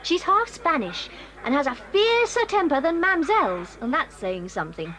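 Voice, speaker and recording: High, lively English schoolgirl. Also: full character voice, poor audio. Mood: gossipy. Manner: brisk, gossipy, exclamatory. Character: friendly, chatty English boarding-school girl, eager to gossip. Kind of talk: children's audio drama acting.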